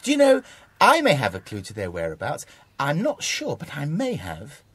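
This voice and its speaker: Casting: shifty British gent for children's audio play, over-polite, exclaiming, hugely animated pitch, slightly husky. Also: male voice, moderate pace, no echo, exaggerated voice. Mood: confiding. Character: shifty man posing as a friendly bird lover. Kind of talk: children's audio dramatisation acting.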